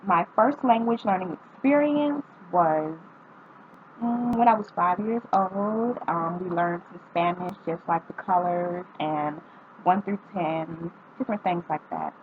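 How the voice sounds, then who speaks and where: Young woman from North Carolina, lowish voice, casual tag vlogger, reads questions then answers with ums, tinny lo-fi recording. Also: unhurried, a little hiss or hum. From North Carolina, United States. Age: 20s.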